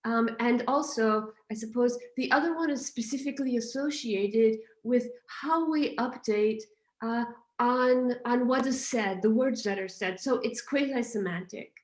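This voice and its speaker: A woman thinking aloud in an academic discussion, halting and often interrupting herself as she puts a point. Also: on the high side, a little mellow, echoey room. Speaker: audience questioner at an academic Q&A.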